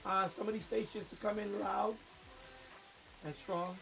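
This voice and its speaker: A man's voice: sits high, steady tone, a little slow, creaky, recorded from a distance, loud music behind the voice, low-quality recording. A man who hosts a hobby radio live stream, chatting casually and musing aloud in a hesitant way.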